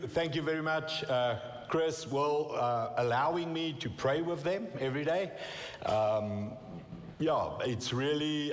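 A man from South Africa, Afrikaans his first language, preaching a sermon as a guest pastor in a South African accent, telling stories with self-deprecating humor. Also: medium pitch. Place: church. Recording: long reverb in a church hall.

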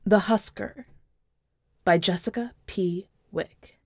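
A woman narrates literary fiction at a slow, measured pace. Her mid-pitched voice is expressive and deliberate, and the recording is close and dry. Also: breathy edge, no room sound. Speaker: podcast editor and story reader. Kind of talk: literary short story narration.

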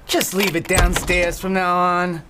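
A man's voice: sits high, flat delivery, measured pace, heavy background noise. An actor playing a cranky, reclusive male tenant in an audio drama, curt, callous and suspicious. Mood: surly. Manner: curt, surly, irritable.

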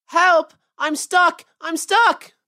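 A woman's voice: sits high, normal speed, lightly acted. A woman voicing an anxious, protesting little boy rabbit in a children's storybook. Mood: distressed.

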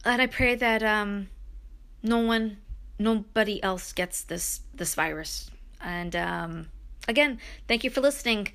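A woman's voice: medium pitch, unhurried, fairly bright tone. A woman from Brooklyn hosting her own podcast, talking alone in a chatty, rambling way with many ums and restarts. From Brooklyn, New York. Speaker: podcast host and author. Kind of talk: solo podcast monologue.

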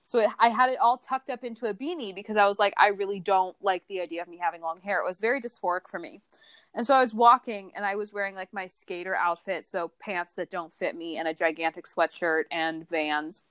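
A woman's voice: mid-range voice, a little fast, a bit bright, dry recording. A young woman co-hosting a podcast, chatting casually and telling stories in a blunt, crude, playful way. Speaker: podcast co-host. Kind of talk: casual chat.

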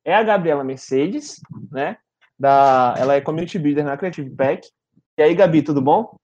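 Male podcast host, Brazilian Portuguese accent, high voice, informal and chatty. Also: normal speed, darkish tone, muffled recording. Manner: informal, chatty, frequent tag questions. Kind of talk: casual conversational podcast hosting.

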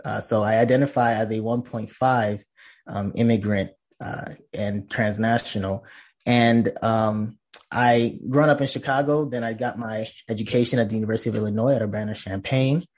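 A man's voice: fairly low pitch, fairly even intonation, normal speed. A man from Nigeria, a professor guest in a conversational interview, explaining earnestly with many fillers and restarts.

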